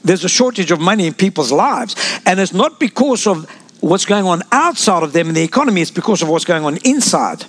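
Male pastor preaching, pretty high voice, lots of amens and asides to the church. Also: lively intonation, fairly quick, fairly bright tone. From South Africa. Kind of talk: sermon.

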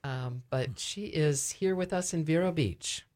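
A woman speaking as a ministry director and guest in a conversational radio interview, explaining things a little haltingly. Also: sits low, a little mellow.

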